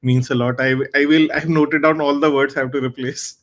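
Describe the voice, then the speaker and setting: A man's voice: on the high side, level tone, fairly quick. A man, acting as general evaluator at a club meeting and thanking speakers in an appreciative way over a video call. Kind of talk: meeting facilitation.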